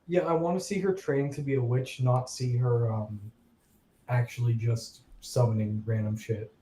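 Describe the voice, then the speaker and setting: A man chatting about anime with friends, talkative and laughing often, his voice trailing off softly. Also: mid-range voice, steady tone, normal speed, slight background noise, rough recording. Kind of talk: casual anime review chat.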